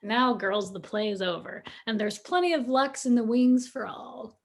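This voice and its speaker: An actress playing a gracious, cheerful radio theater hostess in an old-time announcing style. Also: female voice, fairly high pitch, normal speed, close to an everyday voice. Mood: gracious, upbeat. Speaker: announcer and host.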